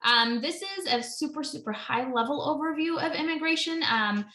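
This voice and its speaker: A woman's voice: sits high, normal speed, bright, forceful tone, reverberant. A woman in her thirties, an immigration attorney, presenting a webinar in a chatty way with many ums and self-corrections.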